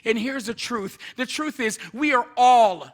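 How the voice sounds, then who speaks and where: A man's voice: very high voice, a little slow. A man from Jamaica with a Jamaican accent, preaching as a guest in a church in a chatty, rambling, self-joking way while moving around. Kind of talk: informal sermon. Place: church sanctuary.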